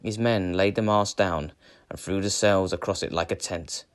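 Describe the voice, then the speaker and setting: A man reading a children's adventure tale aloud as a storyteller, with dramatic, measured phrasing. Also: sits low, fairly even intonation. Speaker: storyteller, teacher.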